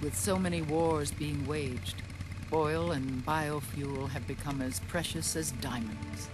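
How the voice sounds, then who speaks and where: An older woman playing a commanding, world-weary resistance leader in a video game cutscene, with a deliberate, authoritative delivery. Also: low-pitched, steady tone. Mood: composed. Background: loud music.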